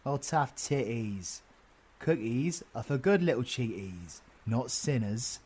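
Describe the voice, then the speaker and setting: A man's voice: medium pitch, lively intonation, slow pace, darkish tone, breathy edge. A British man playing a bossy, cheeky supervillain in an audio roleplay, swearing and teasing in slangy banter. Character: cheeky, foul-mouthed, bossy male supervillain.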